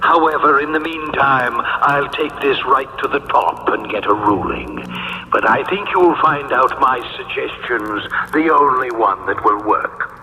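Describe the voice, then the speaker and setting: Impatient celestial supervisor, vintage radio comedy; bright forceful voice, measured pace, faint hiss. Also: male voice, medium pitch, poor audio. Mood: brisk, advising. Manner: authoritative, curt, deliberate diction. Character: brisk, impatient, all-knowing male heavenly supervisor.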